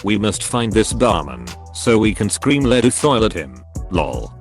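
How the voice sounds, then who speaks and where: Give this a man narrating online stories in a slightly low voice. His pitch moves around a lot as he reads the scripted posts. Soft music plays behind him. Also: very animated, unhurried.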